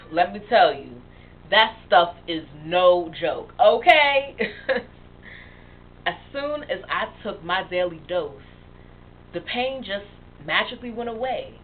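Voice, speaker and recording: An actress playing a young woman who haltingly and emotionally confesses a past addiction to her best friend. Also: on the low side. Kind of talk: dramatic monologue. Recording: tinny phone-like sound with faint hiss.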